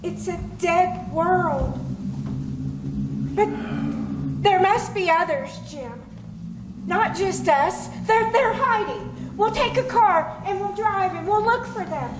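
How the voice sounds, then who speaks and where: A woman's voice: very high-pitched, slow. A woman playing a frightened, earnest plague survivor in an old-time radio thriller. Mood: desolate, pleading. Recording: echoey live stage, very noisy crowd.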